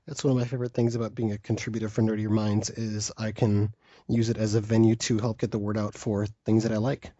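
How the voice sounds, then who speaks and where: A man's voice: on the low side, little pitch movement, quick pace, mellow, no echo. A man interviewing a guest with casual, conversational questions. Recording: dull, dry lo-fi audio.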